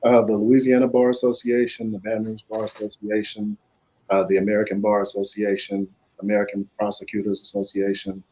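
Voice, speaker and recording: A man's voice: on the low side, monotone, fairly quick, a little mellow, a little hiss or hum, poor audio. A man, a prosecutor running for judge, speaking steadily about his aims. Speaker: judicial candidate, prosecutor. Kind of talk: candidate statement. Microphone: video call.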